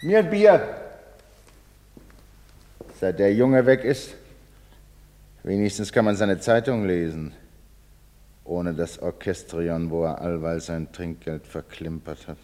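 A slow, grumbling tavern regular. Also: male voice, sits low, mellow. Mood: smug, grumbling. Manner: deliberate, disapproving, opinionated. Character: grumbling, smug townsman drinking beer in a tavern. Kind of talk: theatrical stage drama acting in German. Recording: reverberant hall.

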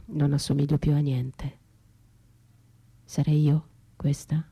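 A woman's voice: deep voice, flat delivery, slow pace, dark tone, husky, heavily acted. An actress playing a battered, trapped, desperate woman in a theatrical radio monologue, speaking in poetic fragments and repeated words that lapse into whispers. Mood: bleak, dazed.

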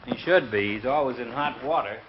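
A man's voice: medium pitch, brisk, background noise, close to an everyday voice. Man playing a dry, grumbling doctor, muffled recording. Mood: impatient, wry. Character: wisecracking, impatient, big-bellied doctor with huge appetite. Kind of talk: 1950s radio comedy acting. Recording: muffled vintage radio recording.

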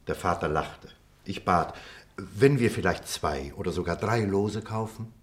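An actor playing a reflective middle-aged former pupil, telling memories in a measured, expressive radio-play style. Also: male voice, low-pitched. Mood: reminiscing. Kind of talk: theatrical radio play dialogue and storytelling.

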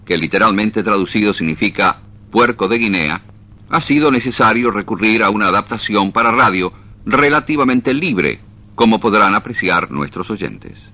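A man's voice: fairly low pitch, animated, moderate pace, pushed and bright. A man announcing a radio story series in a formal, scripted style.

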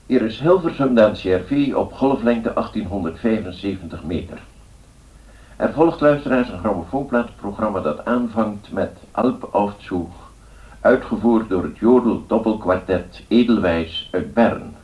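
1930s male continuity announcer: lowish, husky, solemn, formally courteous to listeners. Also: lively intonation, moderate pace, lo-fi sound. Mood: formal, announcing.

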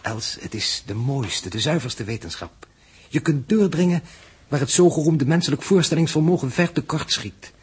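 Husky, fatalistic space mathematician. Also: male voice, a little high, expressive, moderate pace, a little mellow. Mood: enthusiastic lecturing. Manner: pedantic, lecturing, formal wording. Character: coolly fatalistic, learned male mathematician on a spaceship. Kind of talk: 1960s Dutch radio drama acting.

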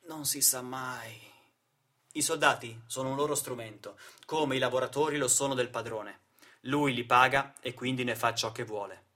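A man's voice: medium pitch, fairly even intonation, normal speed, pushed and bright. Dramatic male stage narrator. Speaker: actor-narrator. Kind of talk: theatrical monologue, political storytelling.

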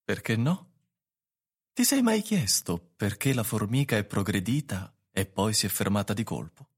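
An eccentric woods hermit, lowish voice, cool and detached, radio play. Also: male voice, animated, normal speed, breathy edge. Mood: coolly explaining. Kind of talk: Italian radio drama acting.

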